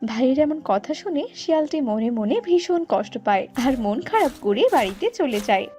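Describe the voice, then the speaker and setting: A woman's voice: high voice, animated, brisk, dark tone. A woman reading scripted narration for a cartoon story. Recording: muffled, music underneath.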